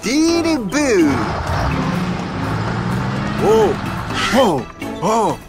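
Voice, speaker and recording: A man's voice: very high-pitched, big pitch swings, very slow, full character voice. An actor playing a good-natured, heroic cartoon truck, a man speaking in short grunts, coos and babbled catchwords. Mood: eager. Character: male heroic truck, simple and good-natured, nearly wordless. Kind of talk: children's cartoon dubbing. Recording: loud cartoon music under the voice.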